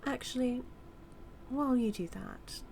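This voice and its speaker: A woman's voice: sits high, a little mellow, a little hiss or hum. A woman with a British accent acting as a smug female supervillain who mocks and teases her hero nemesis in a theatrical, drawling audio roleplay monologue. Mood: sardonic. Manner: mocking, theatrical, drawling with drawn-out words.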